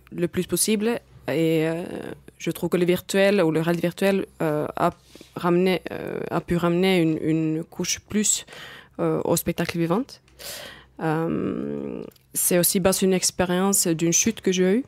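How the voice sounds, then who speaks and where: A woman's voice: fairly low pitch, a little mellow, no echo. Finnish-accented woman, hesitant and searching. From Finland. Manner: hesitant, searching for words with many pauses. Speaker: guest circus artist. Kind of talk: studio podcast interview.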